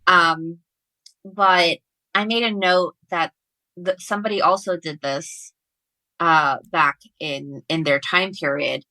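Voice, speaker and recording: Woman guest author, slightly low pitch, crisp bright edge, chatting casually about books in a dry recording. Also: steady tone, slow, no room sound, low-quality recording. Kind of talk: casual book discussion.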